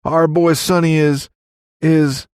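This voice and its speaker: Squawking, shrill frantic young cowboy. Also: male voice, level tone, slow, a little mellow, clear, resonant tone, no echo, clean studio sound. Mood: distraught. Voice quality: squawking, breathless. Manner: stammering, folksy Old West talk.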